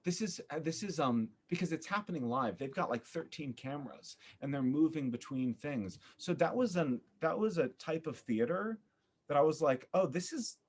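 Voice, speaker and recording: A man speaking conversationally as a podcast guest about theater, thoughtful and halting, often repeating words as he searches for phrasing. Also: fairly high pitch, expressive, husky.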